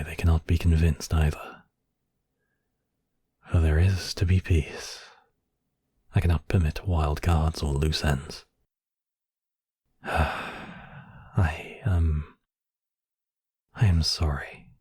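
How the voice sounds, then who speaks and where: A coldly possessive high mage villain, acted by a man for an ASMR fantasy roleplay. His voice is low and husky, with a slow, deliberate delivery. He speaks imperiously, like one used to being obeyed. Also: level tone, warm tone, no room sound, exaggerated voice. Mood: soft, menacing, then regretful, controlling. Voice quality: breathy, husky, often dropping to whispers. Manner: imperious, deliberate, addressing listener as apprentice. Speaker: voice actor.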